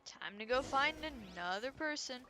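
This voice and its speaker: High-voiced woman streaming a game. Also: lively intonation, normal speed, sharp tone, slight background noise. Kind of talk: casual live game commentary. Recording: game music behind.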